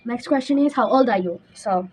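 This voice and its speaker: A woman's voice: a little high, normal speed, darkish tone, breathy edge, rough recording. A fifteen-year-old young woman, chatting casually as a YouTuber answering viewer questions. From Bangladesh, raised mostly in Dhaka. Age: teenager, fifteen.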